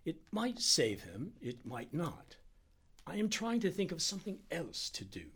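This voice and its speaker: A man voicing an anxious, precise little Indian doctor in an audiobook, speaking in an urgent and deliberate way. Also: fairly high pitch, huge pitch swings, fairly bright tone, pitched above the performer's own voice, exaggerated voice. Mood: tense, cautioning. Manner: urgent, halting, deliberate, breathy at times. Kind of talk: audiobook character dialogue.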